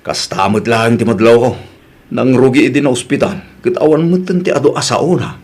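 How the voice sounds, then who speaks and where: Young Ilocano village guy played by a man, average male pitch, helpful and polite, easygoing radio drama delivery, Filipino accent. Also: normal speed, darkish tone, lightly acted. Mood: casual.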